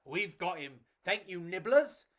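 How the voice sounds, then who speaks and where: A woman's voice: lowish voice, expressive, a little slow. A woman voicing a blustering, hearty male beefeater guard in a children's storybook, blurting out his words. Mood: grateful.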